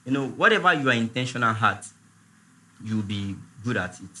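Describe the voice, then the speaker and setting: A man, chatting casually as a guest on a talk show, relaxed and repeating his words. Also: medium pitch, normal speed, slight background noise. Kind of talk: casual chat.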